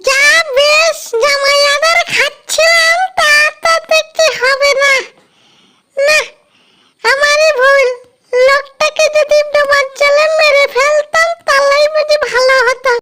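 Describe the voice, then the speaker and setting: Greedy grumbling ghost for cartoon, piercingly high and bright, very dry recording. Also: male voice, flat delivery, takes it slowly, no room sound, exaggerated voice. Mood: sulky scheming. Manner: grumbling, self-pitying. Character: greedy, sly male fish-eating ghost, grumbling and scheming.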